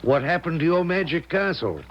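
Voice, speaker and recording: A man's voice: fairly high pitch, expressive, moderate pace, close to an everyday voice. An actor playing a genteel, practical middle-aged father in a period radio drama, formal and theatrical. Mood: exasperated, indulgent. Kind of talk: 1970s radio drama acting and narration. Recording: muffled, noisy 1970s radio recording.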